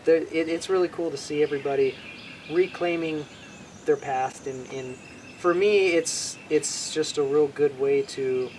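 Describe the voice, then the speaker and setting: A man's voice: a little high, measured pace. A man from Hawaii talks about his craft in a loose, meandering way. He restarts often and sounds at ease. The recording carries a faint outdoor hum of birds and nature. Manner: relaxed, rambling with many restarts. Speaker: bushcraft instructor, guest. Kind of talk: casual interview chat.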